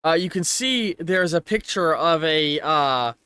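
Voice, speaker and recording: A man narrating a tabletop game as game master, improvising casually and haltingly as he searches for words. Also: fairly high pitch, animated, bright, forceful tone, smooth, no echo, studio quality.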